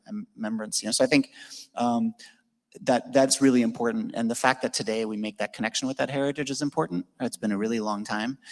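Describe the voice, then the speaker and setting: Fast-talking man in an echoey hall. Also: mid-range voice. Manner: fast, thoughtful, many fillers and restarts. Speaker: panelist, historian. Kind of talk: casual panel discussion. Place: event venue with a large hall.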